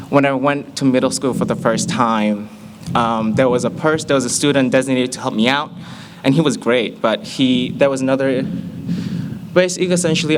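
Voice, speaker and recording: A young man in his early twenties with an American accent, giving a humorous personal testimony to an audience in an animated, playful storytelling manner. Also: mid-range voice, slight background noise. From Malaysia.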